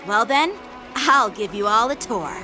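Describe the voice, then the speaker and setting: A woman's voice: on the high side, animated, unhurried. A woman voicing an earnest, eager teenage boy, a proud young knight in a video game. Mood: eager, friendly. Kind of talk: English video game voice acting. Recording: music behind the voice. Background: game soundtrack music.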